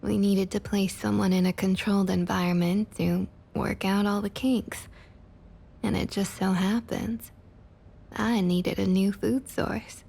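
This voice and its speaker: A woman playing a smug, opportunistic vampire council member in an ASMR roleplay, with a soft breathy Southern US accent and coaxing, condescending sweet talk. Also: mid-range voice, fairly even intonation, unhurried. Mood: smug, explaining. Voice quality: soft, breathy, slightly husky. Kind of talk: ASMR roleplay, intimate scripted monologue.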